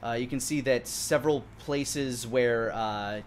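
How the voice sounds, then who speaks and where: A man runs a tabletop roleplaying game in a mid-range voice. He improvises detailed, halting descriptions over slight background noise. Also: mid-range voice. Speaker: game master. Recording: clearly audible background noise.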